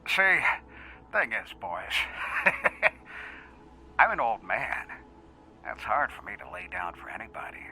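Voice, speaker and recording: Guy doing cocky old brawler in audio drama, sharp bright voice, swings all over, music under it. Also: medium pitch, big pitch swings, takes it slowly, long pauses, heavily acted, low-quality recording. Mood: cocky, defiant. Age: older. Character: cocky, world-weary old fighter, fond and defiant.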